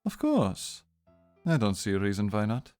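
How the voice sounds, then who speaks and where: A man playing a gentle, reserved Victorian doctor in an audio drama, with an understated, measured manner. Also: on the low side, huge pitch swings. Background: music.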